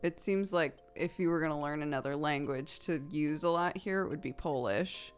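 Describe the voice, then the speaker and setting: Chatty woman with a low voice. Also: normal speed, smooth, faint music behind. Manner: chatty and rambling, laughs often. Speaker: streamer. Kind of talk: casual chat while playing a video game.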